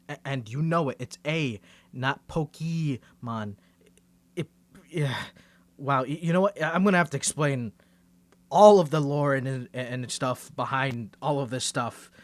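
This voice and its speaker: A man's voice: on the high side, fairly bright tone, dry recording. A man playing a nervous, bantering young man with a secret superhero identity, stammering through an ASMR roleplay to the listener. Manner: stammering, flustered, halting.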